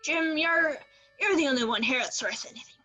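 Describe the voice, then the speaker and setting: A woman playing a rough, fearful old male sea captain who craves rum, in a radio play with sailor dialect. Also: high voice, a little fast, bright, forceful tone, soft background music. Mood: pleading.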